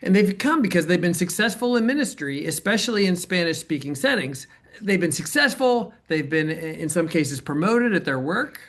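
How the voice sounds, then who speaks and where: A supportive university director played by a man, speaking in a conversational interview style. He explains things a little haltingly and often checks with the listener. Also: high-pitched, sing-song, a bit bright. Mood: approving, earnest. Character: supportive male university center director praising colleague. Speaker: performer portraying a center director. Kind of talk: dramatized interview narrative, conversational.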